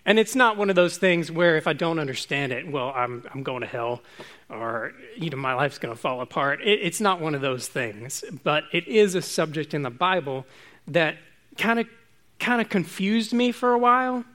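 A man preaching a relaxed, informal sermon to his congregation, chatty and self-joking. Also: a little high, moderate pace.

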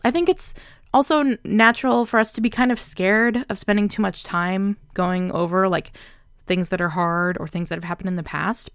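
A young woman with a smooth, clean voice chats earnestly to her viewers. She talks in a loose, reflective way, full of little fillers. The sound is close and dry, with no room around it. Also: medium pitch, no room sound. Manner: chatty, earnest, many fillers like "like" and "right?". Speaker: vlogger. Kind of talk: casual reflective talk to camera.

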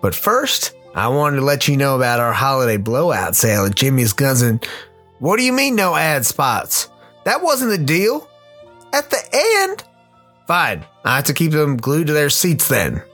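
A man's voice: mid-range voice, huge pitch swings, unhurried, fairly bright tone, soft background music, full character voice. A man playing a chummy, sales-minded storyteller salesman in a radio play. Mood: indignant. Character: folksy salesman storyteller, huckster named Jimmy, by a fire.

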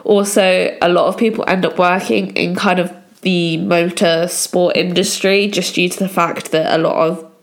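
A young woman from England hosting a solo podcast, her voice croaky from a cold, chatting along with lots of "kind of" and "um". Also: on the low side, fairly even intonation, normal speed. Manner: chatty, many fillers like "kind of". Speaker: podcast host.

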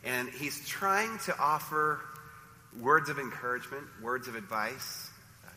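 A man preaching a sermon as pastor, hoarse from a sore throat, with an informal, self-deprecating manner. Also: medium pitch, expressive, a little slow, sharp tone, slight background noise. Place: church sanctuary. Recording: reverberant church hall, faint ambience.

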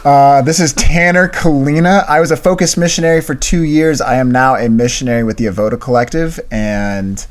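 A man's voice: mid-range voice, fairly quick, hardly any pauses. A young man co-hosting a podcast, chatting casually and telling a story with enthusiastic bursts. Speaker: podcast co-host. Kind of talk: casual chat.

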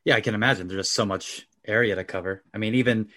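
A man's voice: fairly low pitch, fast talker, sharp tone. A man hosting an interview, asking easygoing, curious questions. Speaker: interviewer, host.

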